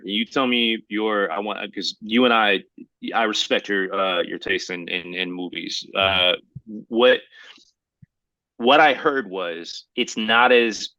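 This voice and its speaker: A man chatting casually as a podcast guest, excitable and often halting, repeating his words as he goes. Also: lowish voice, dry recording, poor audio. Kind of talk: casual chat.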